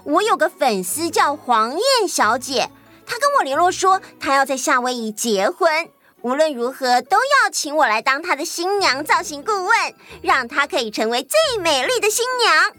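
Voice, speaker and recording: A woman voicing a bubbly, excitable, scatterbrained young detective's assistant in a children's audio story, chatty and boastful. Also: high voice, moderate pace, faint music behind, heavily acted. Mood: proud, excited.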